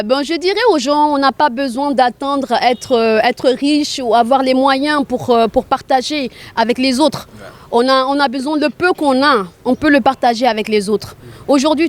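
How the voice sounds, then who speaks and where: A woman with a somewhat high-pitched voice gives a spontaneous interview. She speaks earnestly about what she does, often repeating a phrase to stress it. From grew up in Arabia. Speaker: charity volunteer being interviewed.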